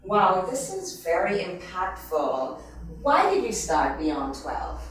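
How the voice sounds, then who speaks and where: A woman hosting a casual interview, low-pitched, who keeps the guest going with quick encouraging remarks. Her voice comes from a distance, and strong echo fills the room around it. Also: wildly swooping pitch. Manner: warm, encouraging, with short affirmations. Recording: roomy, from far off.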